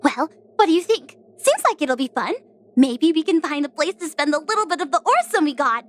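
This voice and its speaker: A woman playing a cocky, bouncy young psychopomp assassin in an audio drama, with a playful, excitable delivery. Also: sits high, brisk. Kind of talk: audio drama character acting.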